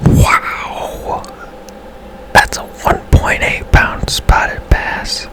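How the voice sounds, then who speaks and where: Male, breathy whisper, very slow pace, casual and halting, ASMR gaming commentary, channel host.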